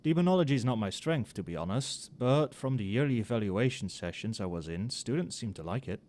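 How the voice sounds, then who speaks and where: A man playing an overworked magic professor in a casual, soft-spoken ASMR roleplay, muttering with dry sarcasm. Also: mid-range voice, expressive, a little fast, close to an everyday voice. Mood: casual, chatty. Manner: casual, muttering, dryly sardonic. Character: wry, overworked male magic professor grading papers late at night.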